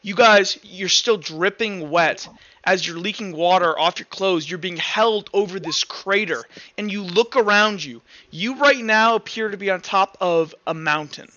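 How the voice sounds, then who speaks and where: A man with a high, forceful voice acts as game master at a tabletop game. He narrates casually and haltingly, talking straight to the players. The sound is dry and a little dull. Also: no room sound.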